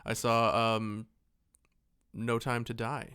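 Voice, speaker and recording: Smooth-voiced man chatting casually. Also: medium pitch, flat delivery, slow, pushed and bright. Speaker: podcast host. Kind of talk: casual chat about films and shows.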